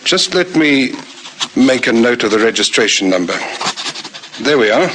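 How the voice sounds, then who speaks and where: Actor as brisk police lieutenant, noisy vintage recording. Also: male voice, mid-range voice, lively intonation, natural voice. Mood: brisk, satisfied. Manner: businesslike, courteous, thinking aloud. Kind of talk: radio crime drama acting.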